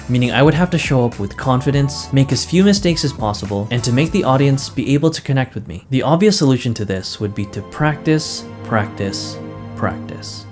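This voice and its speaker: A man in his twenties giving a calm, earnest, scripted voice-over for his vlog. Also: medium pitch. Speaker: vlogger. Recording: voice-over with loud background music. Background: music.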